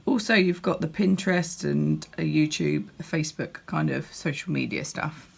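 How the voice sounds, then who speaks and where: A woman with a croaky voice, chatting casually as she walks through a crafting catalogue, with lots of ums and restarts. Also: low-pitched, normal speed. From United Kingdom. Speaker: crafting demonstrator. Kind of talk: casual product walkthrough.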